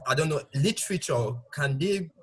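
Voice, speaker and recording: Husky-voiced man hosting a video call. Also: a little high, lively intonation. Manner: measured, polite, long framed questions. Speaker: host interviewer. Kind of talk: moderating an online interview.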